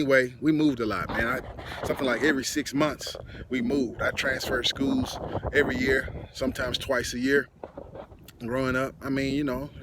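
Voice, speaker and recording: A middle-aged man with a Texan accent, a host and musician telling a casual, rambling story outdoors, full of fillers and asides. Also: medium pitch. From Texas. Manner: loose and conversational, many fillers and asides. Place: outdoors in wind and sun. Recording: noisy outdoor recording with wind.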